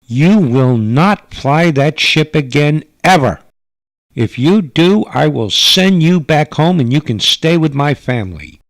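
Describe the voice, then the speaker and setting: A man's voice: on the high side, lively intonation, dry recording, voice pushed up. A man playing a formal, earnest alien mother. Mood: stern, angry. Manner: formal, careful foreigner's wording, halting for words. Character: Kim, stern alien mother, earnest and pleading. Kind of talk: radio drama narration with character voices.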